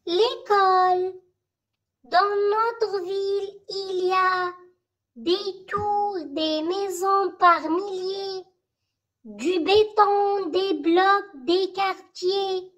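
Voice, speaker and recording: A very high-pitched voice reciting a school poem in short, measured phrases with pauses, for learners to memorize. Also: steady tone, a bit bright, dull audio.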